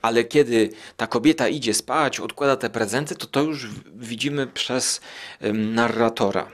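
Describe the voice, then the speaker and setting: A man with a mid-pitched voice talks casually as a solo podcast host. He rambles through his review, halting often and stretching out hesitations as he searches for the next phrase. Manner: halting, rambling, thinking aloud with drawn-out hesitations. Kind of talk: casual monologue review of radio plays.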